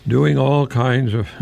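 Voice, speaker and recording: An older man with a husky, creaky voice talks on the radio at an unhurried pace. He is whimsical and self-deprecating, halting as he goes, somewhere between rambling and reciting verse. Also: medium pitch, darkish tone. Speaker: radio host, retired professor. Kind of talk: rambling humorous radio monologue with poetry readings.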